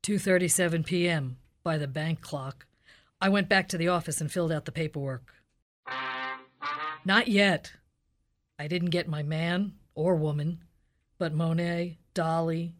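A woman acts out a hard-boiled police detective in a cop-show parody radio play. The voice is fairly low, clipped and deadpan, dogged and official. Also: a little slow, faint music behind. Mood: deadpan narrating. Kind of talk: Dragnet-style comic radio play acting.

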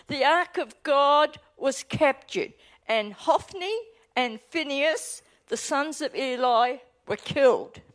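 A woman pastor with an Australian accent giving a sermon and reading scripture aloud, earnest and deliberate, with small hesitations. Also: high-pitched, a bit bright, poor audio.

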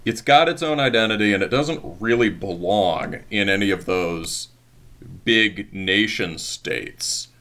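A man's voice: on the low side, a little slow, bright, forceful tone. A man interviewing a guest in casual conversation, rambling with many hedges and fillers and laughing easily.

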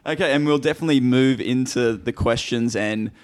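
A man's voice: mid-range voice, fairly quick. A man hosting a podcast interview, encouraging his guest and laughing often. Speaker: podcast host. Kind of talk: casual interview chat.

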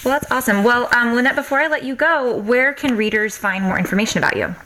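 A woman's voice: on the high side, lively intonation, fast, bright, forceful tone, a little hiss or hum, rough recording. A woman hosting a book podcast, chatting in a friendly, upbeat way with a guest and signing off the show. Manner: friendly, upbeat, laughs often. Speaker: podcast host. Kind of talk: casual interview chat.

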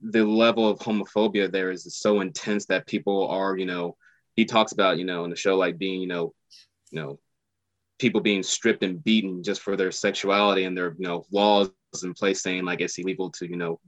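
A man's voice: low voice, steady tone, normal speed, dry recording, rough recording. A man, an actor, answering interview questions casually and hesitantly, full of fillers. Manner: hesitant, many fillers like "you know". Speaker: actor guest.

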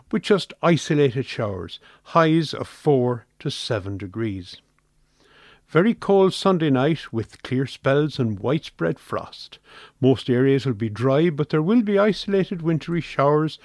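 An Irish man reads a scripted weather forecast for radio. His medium-pitched voice moves up and down in an animated way. The recording is dry and free of room sound. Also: dry recording.

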